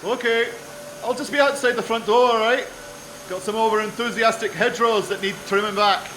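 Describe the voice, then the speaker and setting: Man as homely ex-army groundsman, slightly high-voiced. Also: steady tone, a little fast, a bit bright, slight background noise, poor audio. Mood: easygoing. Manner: homely, hesitant, plain-spoken. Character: stubborn, friendly ex-army groundsman and husband. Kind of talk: audio drama acting.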